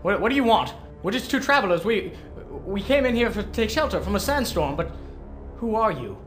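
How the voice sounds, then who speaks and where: A man's voice: high voice, very animated, fairly quick, a bit bright, soft background music. A man playing a nervous, bumbling young adventurer who stammers earnestly and gets flustered in a comic adventure radio play. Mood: nervous, pleading.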